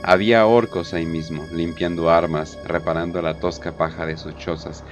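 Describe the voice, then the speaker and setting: A man's voice: deep voice, level tone, normal speed. A man narrating a scripted war story in a dramatic audio drama style. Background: prominent background music.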